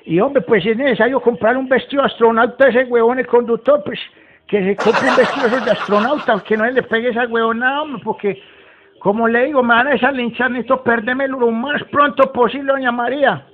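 An old mountain man from rural Colombia, rambles in an extremely high voice. He is folksy, agitated and foul-mouthed, repeating himself as he goes. The prank-call audio is muffled and clearly noisy. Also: fairly even intonation, quick pace. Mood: agitated, rambling. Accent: Colombian, Antioquia. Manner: folksy, rambling, swearing, repetitive. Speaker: prank caller. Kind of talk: prank phone call, improvised character. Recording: muffled, very noisy phone recording with clicks.

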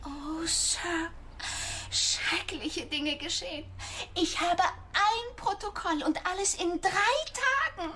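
Flustered officious secretary lady, really high voice, clipped precise little reports, radio play style. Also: slow pace, bright, forceful tone, full character voice. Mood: alarmed, earnest. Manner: clipped, report-like, fussily precise, breathlessly earnest. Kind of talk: German radio play acting.

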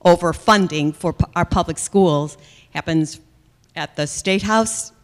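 A woman, a school committee member, asking a measured, explanatory question from the audience in a large function room. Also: lowish voice. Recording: long reverb in a large room.

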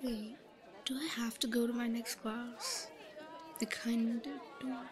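Breathy, high-pitched obsessive shy schoolgirl played by a woman. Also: crowd noise, lightly acted. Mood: hushed pining. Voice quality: breathy, whispery. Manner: giggly, halting. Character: shy, obsessive, lovesick yandere schoolgirl, timid and possessive. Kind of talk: intimate roleplay audio, breathy murmuring to herself. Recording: noisy, crowded ambient background.

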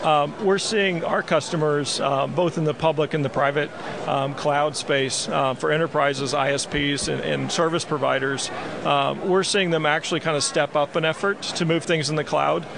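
Gravelly man amid expo crowd. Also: on the high side, fairly even intonation, moderate pace. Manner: lots of "um" fillers, gestures while talking. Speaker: company executive guest. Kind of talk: trade show interview. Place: busy expo hall floor. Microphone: handheld mic held by interviewer. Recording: noisy crowded expo hall. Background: crowd chatter.

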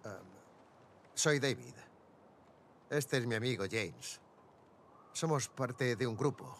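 A calm leader of hungry survivors in a video game. His mid-range voice has a Castilian Spanish accent. He talks in a measured, placating way, with slight ambient noise behind him. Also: medium pitch.

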